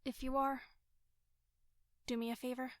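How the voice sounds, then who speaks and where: A woman's voice: high-pitched, little pitch movement, a little slow, no room sound, clean studio sound. An actress playing an embittered, worn young woman in an audio drama, speaking in a curt, bitter way. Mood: bitter, resigned.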